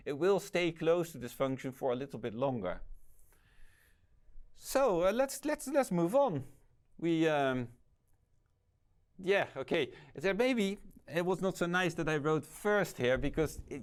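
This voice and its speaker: A man with a Dutch accent, teaching a math lesson as a lecturer who thinks aloud and corrects himself with many fillers. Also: mid-range voice. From Netherlands. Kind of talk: explaining at a board.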